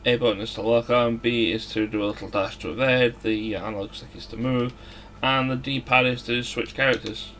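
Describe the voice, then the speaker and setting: A man giving casual, somewhat halting video game commentary as a let's play host. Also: mid-range voice, fairly even intonation, a bit bright, slight background noise. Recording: music behind the voice. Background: game music.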